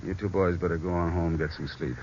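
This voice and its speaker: An actor playing a gruff American police boss who gives firm, authoritative orders in an old radio crime drama. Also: male voice, low voice, steady tone, quick pace, a little mellow, slight background noise, natural voice. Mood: firm, weary. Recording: dull old radio recording.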